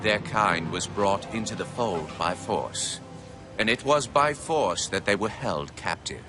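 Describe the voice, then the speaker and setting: A man's voice: on the low side, expressive, music in the background. An actor voicing a solemn alien male warrior-elder who recounts his people's history in a video game. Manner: solemn, measured storytelling. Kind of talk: video game cutscene narration.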